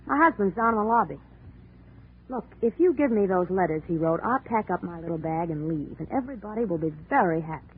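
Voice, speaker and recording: A hard, scheming married dame in a vintage radio thriller. Her voice is very husky and pointed, full of tension. It comes through small and tinny. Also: on the low side, expressive, a little fast. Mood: cool, demanding. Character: tense, scheming married woman in a blackmail standoff. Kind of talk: 1940s radio drama acting. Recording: tinny, muffled old-time radio recording.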